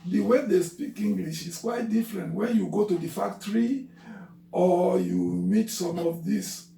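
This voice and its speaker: An older Nigerian man whose first language is Yoruba, explaining slowly with many fillers in a casual chat with his daughter. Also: sits high, creaky, mellow. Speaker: father of the host. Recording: roomy, from far off, faint noise.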